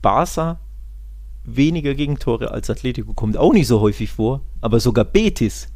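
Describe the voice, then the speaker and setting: A man's voice: medium pitch, expressive, normal speed, darkish tone, dry recording. A man co-hosting a football podcast in casual discussion, talking colloquially and emphatically and often rephrasing himself.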